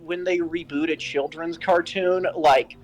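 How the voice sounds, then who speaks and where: A co-host of a fan fiction reading show chatting casually, rambling and halting through jokes and critique. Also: medium pitch. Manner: rambling, halting, animated joking. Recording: music playing underneath. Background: music.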